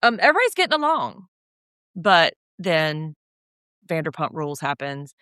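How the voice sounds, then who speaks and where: Brief: podcast co-host, woman, slightly deep voice, dry close mic, wry and animated. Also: moderate pace, no room sound. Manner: chatty, sardonic, animated asides. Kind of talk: casual recap chat.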